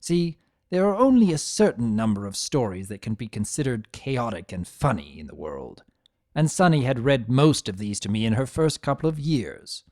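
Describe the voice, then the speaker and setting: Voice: medium pitch, big pitch swings, normal speed, darkish tone, dry recording. A narrator as a wry, sardonic chaos spirit. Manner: dry, conversational asides.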